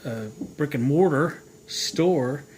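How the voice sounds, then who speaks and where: A man's voice: fairly high pitch, slow, a little mellow, low-quality recording. An American man hosting a repair how-to video, talking in a casual, folksy way and thinking aloud as he demonstrates. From United States. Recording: clear background noise.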